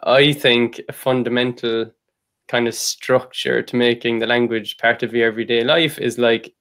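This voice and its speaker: An Irish man with a mid-range voice hosts a podcast chat. He talks in a relaxed, loose way and leans on "like" as a filler. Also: mid-range voice, normal speed. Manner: relaxed, rambling, with many "like" fillers. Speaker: podcast host. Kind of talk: casual conversation.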